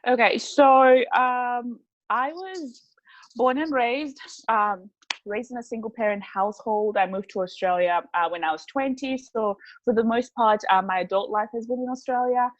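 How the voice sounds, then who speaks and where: A woman's voice: fairly high pitch, moderate pace, dry recording, dull audio. A woman, a podcast guest chatting casually and playfully. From Zimbabwe. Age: 20s. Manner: playful and chatty, laughs often. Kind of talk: casual chat.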